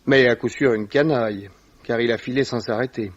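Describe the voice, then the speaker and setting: An actor playing a rich, loyal young heir with a formal, earnest manner, in 1950s French radio drama style. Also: male voice, medium pitch, fairly even intonation, a little fast, lightly acted. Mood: grave, consoling. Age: young adult. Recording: dull old radio recording.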